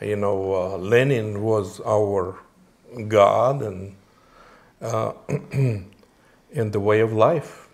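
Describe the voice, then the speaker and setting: A man in his forties from Moldova, a native Romanian speaker, answering interview questions in a halting way with many fillers and restarts. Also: fairly low pitch, fairly even intonation, gravelly, darkish tone. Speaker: interview guest.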